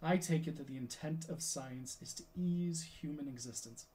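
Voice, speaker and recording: A man's voice: on the high side, normal speed, dark tone, a little hiss or hum, lightly acted. Man acting a theatrical, anguished aging scientist. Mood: impassioned, indignant. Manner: theatrical, declamatory, impassioned oratory. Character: defeated, self-condemning aging male scientist lecturing his pupil. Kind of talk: classical stage monologue.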